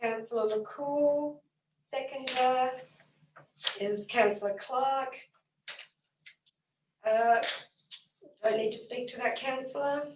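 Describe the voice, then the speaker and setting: A woman chairs a council meeting as mayor, moving through motions and votes in a brisk, businesslike way. Her slightly higher voice is a bit croaky. She sounds set back from the mic in an echoey room, with faint noise underneath. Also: far from the mic. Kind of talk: formal meeting procedure.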